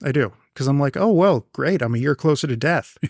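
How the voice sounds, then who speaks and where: A man's voice: mid-range voice, lively intonation, a little fast, darkish tone, dry recording. A man in his late thirties co-hosting a podcast, talking in a dry, sardonic way with grumpy humor. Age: 30s. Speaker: podcast co-host. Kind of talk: casual chat.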